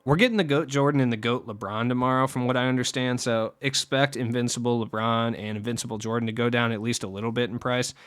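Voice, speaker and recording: A man's voice: medium pitch, a bit bright, smooth, dry recording, clean studio sound. A young man with a scratchy voice, casually rambling through game market tips as a channel host and often trailing off mid-thought. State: scratchy voice after a long recording session.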